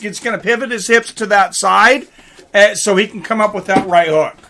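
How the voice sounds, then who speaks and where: A man's voice: high voice, bright, forceful tone. A man giving casual live fight commentary in an informal, conversational way, like a livestream host.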